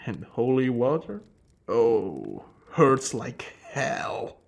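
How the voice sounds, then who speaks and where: A centuries-old male vampire, acted by a man for an ASMR roleplay, calm, courteous and wry. He speaks slowly and intimately to the listener, slipping into whispers. The voice is husky with a gravelly fry, and it pauses theatrically. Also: mid-range voice, heavily acted. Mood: informative, wry. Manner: slow, hushed, drifting into whispers, theatrical pauses.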